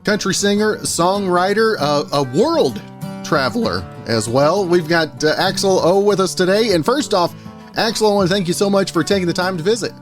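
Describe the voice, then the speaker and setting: A man's voice: sits high, expressive, normal speed, faint music behind. A man hosting a radio talk show, asking questions in a friendly, folksy way and often restarting his sentences. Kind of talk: casual radio interview.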